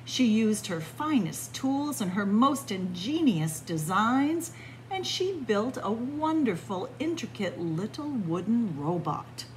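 A woman storyteller reads a picture book aloud to children in an expressive manner, recorded indoors in a library room. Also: medium pitch, rough recording. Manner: expressive, addressing young listeners as friends. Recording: clear background room noise.

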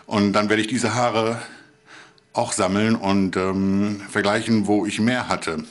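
A man in his thirties speaking hesitantly and deadpan in a video diary, recorded indoors on a camera. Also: lowish voice, slow pace. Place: small room in a flat, indoors.

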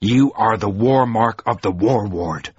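A man voicing a grave, stern lord who speaks in measured, formal, old-fashioned sentences, on a dull lo-fi recording. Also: mid-range voice, big pitch swings, breathy edge, no echo. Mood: solemn. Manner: measured, formal, archaic speech. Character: solemn grave male lord, stern, weary.

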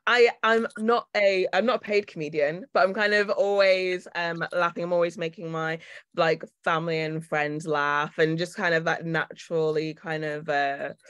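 A woman's voice: lowish voice, steady tone, moderate pace, fairly bright tone, clear, resonant tone, no room sound. A young Yorkshire woman talks on a video call, bubbly and chatty, always close to a laugh. The sound is dry and close, with no room around her. She speaks as an actor looking back on a role. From Leeds, England. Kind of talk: casual chat in a video call Q&A. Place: indoor room at home.

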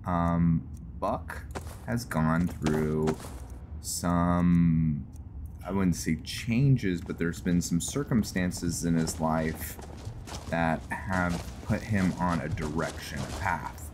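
A man's voice: deep voice, slow pace, background noise. A man giving casual game commentary as a host, rambling and thinking aloud over his play. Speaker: host of a gaming roleplay series. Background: background music.

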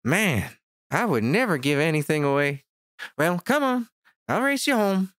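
A low, lively voice recorded very dry. A woman plays a farm boy in an audio drama, the cocky older brother who likes to tease. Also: measured pace, dry recording. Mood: teasing.

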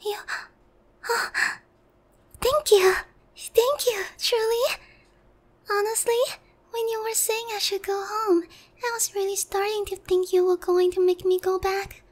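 Very high-pitched, naive harpy girl, acted by a woman. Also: fairly even intonation, measured pace, long pauses. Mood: grateful, hopeful. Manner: polite, hesitant, girlish, earnest. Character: naive, stubborn, sheltered young harpy noblewoman, airheaded and polite. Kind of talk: roleplay ASMR acting.

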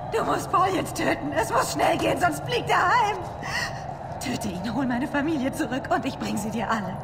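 A woman's voice: sits high, lively intonation, normal speed, creaky, music in the background. An actress playing a desperate, commanding woman in an urgent, intense dramatic video game dub. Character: desperate, commanding woman pleading for her captive family. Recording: loud ambient game noise behind.

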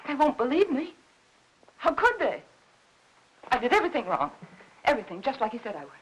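An overwrought American housewife in a 1950s Hollywood melodrama. Her high voice is anxious and halting, that of someone fragile and full of guilt. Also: very animated, breathy edge, full character voice, poor audio. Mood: panicked.

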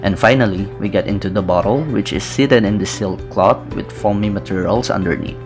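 A young man narrating a scripted fragrance review in a steady, methodical, descriptive way, recorded indoors on camera. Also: fairly low pitch, fairly quick. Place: small indoor room with brick-pattern wall. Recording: clear background music under voice. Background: background music.

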